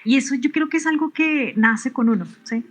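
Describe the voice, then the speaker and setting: A middle-aged woman from Venezuela, a physicist answering interview questions in a halting way, often restarting and adding tag questions. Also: high voice, soft background music. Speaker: physicist and researcher, interview guest.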